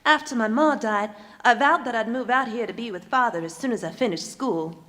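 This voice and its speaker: A woman's voice: on the high side, a little fast, fairly bright tone, lightly acted. An actress playing an eager, headstrong eighteen-year-old frontier girl with folksy phrasing in an old-time western radio drama. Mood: eager, defiant. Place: performing arts center stage. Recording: echoey hall, live stage performance.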